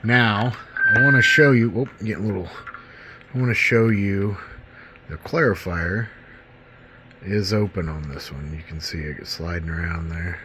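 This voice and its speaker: A man's voice: low voice, a little slow, bright, forceful tone, slight background noise. A middle-aged man casually demonstrating gear as a hobbyist video host, in a folksy, enthusiastic and informal manner.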